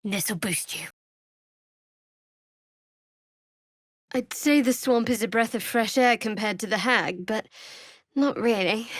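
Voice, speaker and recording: An actress playing a guarded, sardonic young female cleric of a dark goddess in a fantasy video game, speaking in a measured, dryly wry way. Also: fairly high pitch, dry recording, studio quality. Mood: wry, businesslike. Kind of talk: video game voice lines, dramatic fantasy acting.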